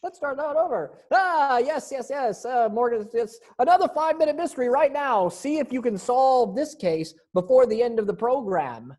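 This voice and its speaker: A woman's voice: high voice, expressive, moderate pace, hardly any pauses, darkish tone. A woman hosting an amateur readers theater show, presenting informally in a playful, chatty way.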